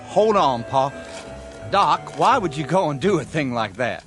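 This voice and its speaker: A man's voice: a little high, very animated, a little slow, a bit bright, faint music behind. An actor playing a plain-spoken, indignant young country man in a radio drama, with a folksy rural American accent. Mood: incredulous. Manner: folksy, incredulous.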